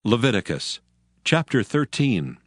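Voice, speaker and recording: A man's voice: mid-range voice, big pitch swings, measured pace, no room sound, studio quality. A man narrating dramatized scripture from a script in a solemn, formal manner.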